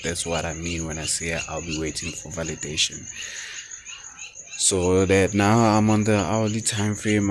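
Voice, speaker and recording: Deep-voiced guy going over trading charts real slow, stops and restarts a lot, english isn't his first language, crickets chirping faint in the back. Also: a little hiss or hum. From South Africa. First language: not English. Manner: thinking aloud, halting with fillers and restarts. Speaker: forex mentor. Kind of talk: screen-share trading walkthrough.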